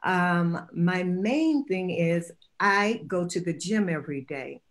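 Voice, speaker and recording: An older woman, a retired grandmother, speaking as a panelist on a video call from home in a slow, reflective way. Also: fairly low pitch, animated. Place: home room, indoors.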